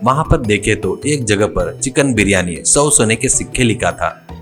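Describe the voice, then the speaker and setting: A man's voice: on the low side, animated, normal speed, a bit bright, faint music behind. A man narrating a scripted comic folk tale as a storyteller.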